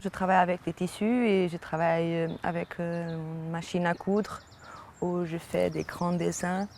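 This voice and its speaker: A visiting artist from Portugal with a Portuguese accent, describing their work in a second language and pausing often to search for words. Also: mid-range voice, little pitch movement, creaky, a little mellow, slight background noise.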